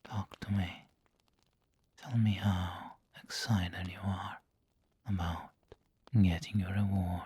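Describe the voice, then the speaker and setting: Man playing a breathy, low-voiced doting vampire lord. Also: level tone, heavily acted. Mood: tender, coaxing. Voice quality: breathy, whispery. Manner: slow, gentle, intimate coaxing. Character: refined, doting male vampire lord, seductive and protective. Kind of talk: ASMR roleplay.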